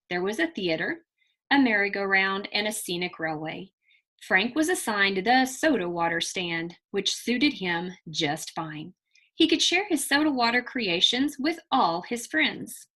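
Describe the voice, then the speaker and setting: Bright-voiced woman reading a storybook to children. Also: medium pitch. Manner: deliberate, emphatic delivery addressed to children. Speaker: teacher reading a picture book to children. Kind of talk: expressive read-aloud storytelling with questions to young listeners.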